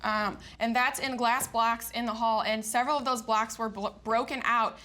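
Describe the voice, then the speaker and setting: Bright, high-voiced American woman testifying. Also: fairly even intonation, moderate pace. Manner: assertive, explanatory. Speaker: plaintiff in TV court, tenant. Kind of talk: courtroom testimony. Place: TV courtroom studio.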